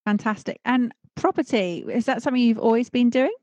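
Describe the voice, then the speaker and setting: A woman hosting a podcast, reading a scripted intro and then interviewing her guest in a measured, emphatic way. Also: fairly high pitch, lively intonation, a little mellow, dry recording. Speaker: podcast host. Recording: dry close audio with faint music.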